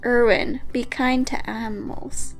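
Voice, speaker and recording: Higher-pitched woman co-hosting casual banter. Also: steady tone, measured pace, faint music behind. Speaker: co-host. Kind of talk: reading posts aloud with casual banter.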